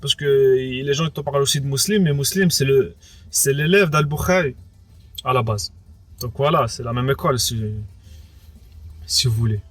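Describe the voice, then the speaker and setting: A man's voice: mid-range voice, moderate pace, long pauses, a bit bright, no room sound. A young French man of Moroccan background, giving a casual personal testimony. He hesitates a lot, repeats words and leans on fillers. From France, of Moroccan background. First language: Arabic speaker as well. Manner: hesitant, repeats words, many fillers like "entre guillemets" and "quoi". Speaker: interviewee.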